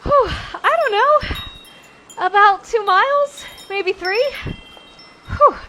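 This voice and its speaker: A woman's voice: extremely high pitch, lively intonation, extremely slow, pushed and bright, slight background noise, heavily acted. A woman playing a goofy, childlike, scatterbrained space pilot who rambles in a sci-fi comedy radio drama. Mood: giddy, sheepish. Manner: childlike, rambling, giddy or drowsy.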